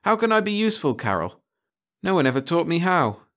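A vain, hard-drinking film star, played by a man in an audiobook story. He is self-pitying and plaintive, his pitch lifted. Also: very animated, brisk, a bit bright. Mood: pleading.

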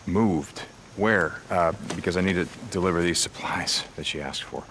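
An actor playing a gruff, guarded outlaw biker turned militia corporal in a video game, with an American accent. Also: male voice, deep voice, normal speed, noisy background. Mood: uneasy. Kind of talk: video game cutscene acting. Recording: game cutscene with music behind.

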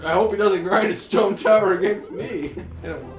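A young man co-hosting a co-op video game session, joking around with sudden loud outbursts. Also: high-pitched, normal speed, over music. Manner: jokey, loud outbursts, mock dramatic. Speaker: gaming channel co-host. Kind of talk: playful co-op gameplay commentary.

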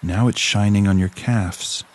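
A man narrating a scripted guided relaxation exercise in a calm, soothing, measured manner with long pauses. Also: lowish voice.

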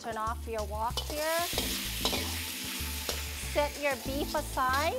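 A woman's voice: sits high, takes it slowly. A woman in her thirties hosting a cooking show, explaining each step in a friendly way while she cooks in a big home kitchen on a lapel mic. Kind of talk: cooking demonstration. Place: large home kitchen, indoors. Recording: background music and frying sizzle.

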